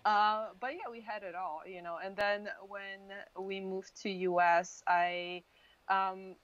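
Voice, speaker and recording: A woman in her thirties, a native Polish speaker from Poland, telling her story casually and haltingly as an interview guest. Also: mid-range voice, fairly even intonation, a bit bright. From Poland, moved to US at thirteen. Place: dim indoor room, video call. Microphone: wired earphone mic. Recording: dull lo-fi video call audio.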